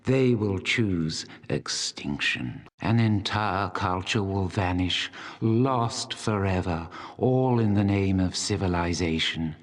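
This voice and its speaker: An actor playing an ancient, proud and embittered dragon in a fantasy video game, speaking in a solemn, deliberate, coldly menacing way. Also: male voice, low-pitched, animated. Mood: bitter, grave. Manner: slow, deliberate, solemn delivery.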